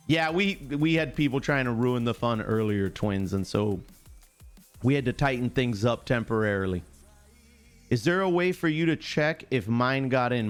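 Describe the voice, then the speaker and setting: A man hosting a live sports stream, chatting casually in chummy slang, greeting viewers by name and repeating himself. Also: medium pitch.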